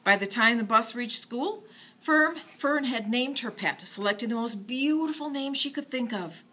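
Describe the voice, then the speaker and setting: Animated, bright woman reading a bedtime story. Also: on the high side, normal speed, breathy edge. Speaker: children's storybook reader. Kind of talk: expressive bedtime story narration.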